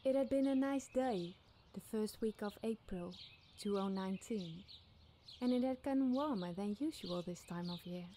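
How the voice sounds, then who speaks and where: A woman's voice: medium pitch, normal speed, mellow, slight background noise. A woman reading a scripted scary campfire story as a podcast storyteller.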